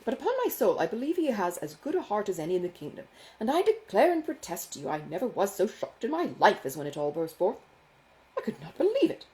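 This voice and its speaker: Woman voicing a foppish, self-satisfied young gentleman, swooping wildly. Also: medium pitch, sing-song, fast, lightly acted, poor audio. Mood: mock concern. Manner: affected, gushing, condescending. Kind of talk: dramatised audiobook dialogue from a classic novel.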